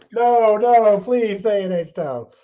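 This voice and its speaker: A man's voice: high-pitched, drawn-out words, lo-fi sound. A man hosting a casual talk show, rambling along in a folksy way with frequent chuckles.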